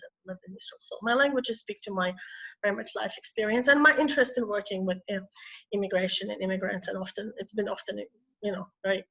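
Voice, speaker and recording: Middle-aged woman from Ukraine, hoarse from a cold, hesitant webinar speaker, bright tone, muffled call audio. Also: medium pitch. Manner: hesitant, frequent throat clearing and restarts. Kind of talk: online talk. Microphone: video call.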